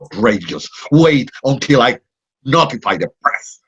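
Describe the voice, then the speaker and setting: A man's voice: mid-range voice, very animated, a little slow, a bit bright, husky, exaggerated voice. Man playing an indignant Puerto Rican man. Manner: indignant, sarcastic. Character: Puerto Rican man raised in New England, indignant, fussy. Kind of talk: play reading. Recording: dull lo-fi audio.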